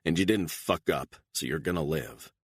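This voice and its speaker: A man voicing a calm, menacing gunman who gives clipped, flat threats. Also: rumbling low, moderate pace, slightly rough, no echo, clean studio sound. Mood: menacing, cold.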